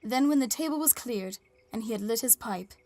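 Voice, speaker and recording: A woman's voice: on the high side, animated, brisk, darkish tone, no echo. An actress playing a polite, inquisitive newspaper reporter in an audio drama, formal and articulate as she narrates and questions. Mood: composed, narrating.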